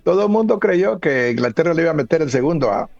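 Male radio sports host, fairly high voice, dry muffled sound with music underneath. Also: animated, fast, creaky, a little mellow, no room sound.

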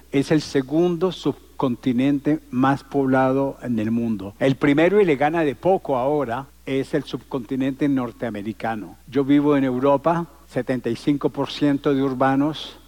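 A man's voice: medium pitch, a little mellow. A man in his sixties from Colombia, giving a public lecture in an emphatic, rhetorical way built on repetition and lists. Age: 60s. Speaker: conference speaker. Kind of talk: public lecture to a live audience.

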